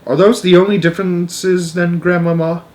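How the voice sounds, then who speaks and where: A man voicing a curious, excitable young grandchild in a storybook, full of exclamations and incredulous questions. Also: sits high, normal speed, pitched above the performer's own voice, lightly acted. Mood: eager, curious. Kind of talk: storybook read-aloud character.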